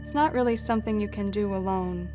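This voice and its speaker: A woman's voice: medium pitch, a little fast. A woman from Wisconsin, a nun, speaking reflectively in an interview, with small restarts. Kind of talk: interview testimony. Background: soft music.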